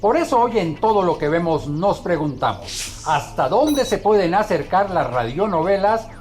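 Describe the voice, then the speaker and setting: A man's voice: high-pitched, sing-song, moderate pace, a little mellow. A man with a Colombian accent presenting a scripted television segment as host and viewers' advocate. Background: music.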